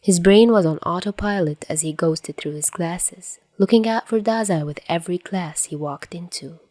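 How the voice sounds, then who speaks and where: A woman's voice: fairly low pitch, normal speed, dark tone, no echo. A woman reading scripted fan fiction aloud as a narrator. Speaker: podfic reader.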